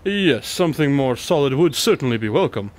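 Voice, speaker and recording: A man's voice: mid-range voice, expressive, brisk, close to an everyday voice. A man playing a composed, inquisitive detective in an audio drama, questioning in a dry, slightly formal, courteous way. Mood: musing, probing.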